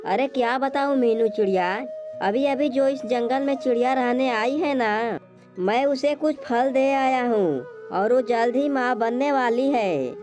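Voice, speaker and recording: A sly male crow from a children's cartoon voiced by a woman speaks in a high voice. The bird is greedy and smooth-talking, putting on friendliness. Music plays behind the speech. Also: unhurried, close to an everyday voice. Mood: scheming, gleeful. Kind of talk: Hindi cartoon moral story acting.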